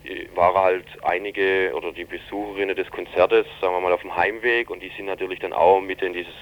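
A man with a low voice gives an unscripted account in an interview. He speaks colloquially and haltingly, searching for his words. The recording is dull and lo-fi. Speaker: interviewee reporting on an incident. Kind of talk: spontaneous spoken eyewitness-style account.

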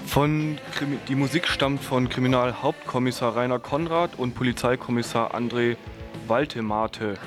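A man's voice: medium pitch, normal speed. A man reading out local event announcements as a radio presenter. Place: radio studio. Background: guitar music under the voice.

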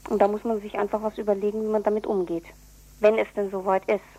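A woman being interviewed on radio, explaining things in an earnest, deliberate way. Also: mid-range voice, little pitch movement, mellow, rough recording. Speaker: interviewee, activist for prisoners' relatives newsletter.

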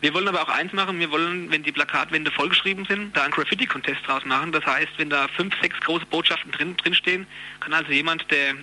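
A man's voice: on the high side, fast, sharp tone, rough recording. A man giving an informal interview as a union youth representative, explaining things in a colloquial way.

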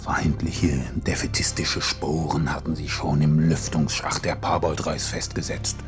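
A man narrating a satirical space adventure in a hushed, mock-serious tone. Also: very deep voice, level tone, measured pace, over music. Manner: hushed, whispering in places.

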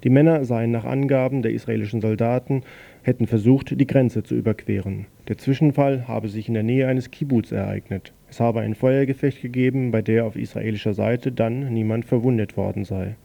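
A man reads a radio news bulletin with a rough, gravelly voice. His tone is very dark and mellow. He delivers it plainly, stumbling and correcting himself now and then. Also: medium pitch, fairly even intonation. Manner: plain, slightly halting reading with self-corrections. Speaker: news reader on a local radio station.

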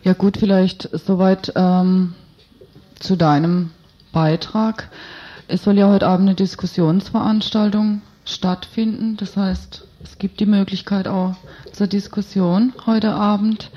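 A woman's voice: medium pitch, little pitch movement, unhurried. A woman hosting an informal radio interview, phrasing things tentatively as she searches for wording. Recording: dull, lo-fi radio recording.

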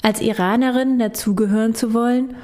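A woman's voice: on the high side, steady tone, brisk, darkish tone. A woman reading scripted narration for a radio documentary. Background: music.

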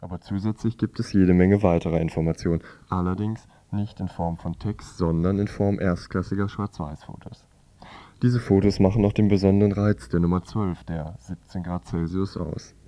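A man's voice: low voice, steady tone, normal speed, mellow, dry recording. A man presenting a scripted magazine review on the radio as narrator.

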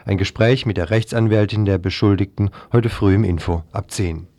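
A man's voice: on the low side, moderate pace, darkish tone, dry recording. A man presenting scripted news as a radio presenter. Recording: dry 1990s radio recording.

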